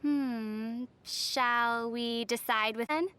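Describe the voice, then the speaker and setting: A woman voicing a young female adventurer in a video game, gentle and lightly teasing in manner. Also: on the high side, steady tone, extremely slow, smooth, exaggerated voice. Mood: playful, curious. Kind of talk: video game character acting.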